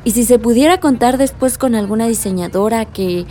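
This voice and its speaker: An actress playing an eager young Mexican graduate with big plans in a radio soap, lively and friendly. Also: female voice, a little high, a little fast, a little hiss or hum, natural voice. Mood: enthusiastic. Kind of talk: radio soap opera dialogue.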